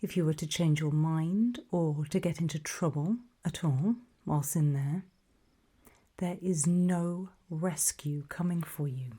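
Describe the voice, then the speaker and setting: A woman playing a calm, faintly sinister official in a whispered ASMR roleplay briefing, breathy, measured and politely ominous. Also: low-pitched, heavily acted. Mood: ominous, matter-of-fact.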